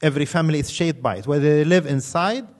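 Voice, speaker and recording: Emphatic middle-aged male lecturer, slightly high. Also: quick pace. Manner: emphatic, ironic, lists points in rhythmic series. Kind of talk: critical commentary on film and culture.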